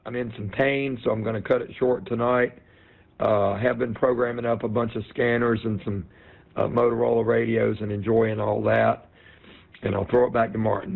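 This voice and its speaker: A man's voice: on the low side, level tone, moderate pace, vocal fry. A man giving a check-in report as an amateur radio operator on a net. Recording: dull lo-fi radio link audio.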